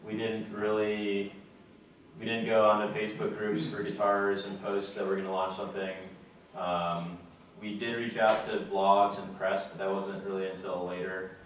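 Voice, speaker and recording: A man's voice: lowish voice, normal speed, recorded from a distance, a little hiss or hum. Young man speaking in an echoey lecture room. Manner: relaxed, plain-spoken, many fillers. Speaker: guest speaker. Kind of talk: casual talk to a student audience. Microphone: far camera.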